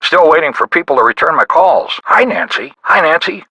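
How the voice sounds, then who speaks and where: An actor playing a middle-aged, gruff small-town police detective in a video game, gravelly and husky, with a wry, folksy, clipped manner. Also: male voice, fairly high pitch, expressive, brisk, bright, forceful tone, no room sound, heavily acted, low-quality recording. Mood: wry, businesslike. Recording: band-limited dry phone-style sound.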